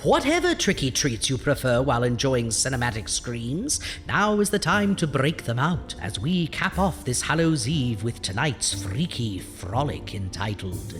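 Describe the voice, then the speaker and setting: An actor playing a campy, theatrical horror-show host, with florid, drawn-out alliterative delivery. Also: male voice, medium pitch, big pitch swings, fairly bright tone. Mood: exasperated showmanship. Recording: music under the voice. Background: music.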